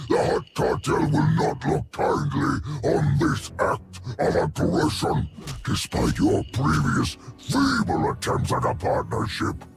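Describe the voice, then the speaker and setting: An actor playing a pompous, menacing male Hutt crime lord in a video game, with a deep gravelly drawl. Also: heavily acted. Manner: slow, boastful, threatening.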